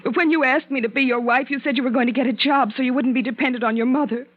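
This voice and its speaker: A woman's voice: high voice, fairly even intonation, quick pace. An actress playing a hurt, timid young woman who speaks hesitantly and pleadingly in a 1940s radio drama. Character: hurt, timid young wife, a lady's companion. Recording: tinny, narrow vintage 1940s radio recording.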